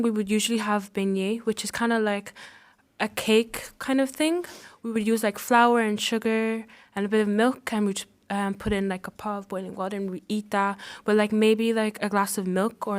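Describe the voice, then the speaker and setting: A woman's voice: mid-range voice, clear, resonant tone. A teenage female student from the Republic of the Congo, giving casual answers as an interview guest. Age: teens.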